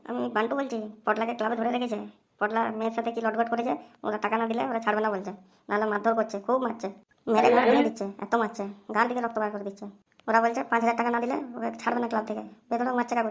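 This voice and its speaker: A woman voicing a sly young con accomplice in an amateur comedy cartoon, brisk and slangy. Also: on the high side, flat delivery, close to an everyday voice. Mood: alarmed.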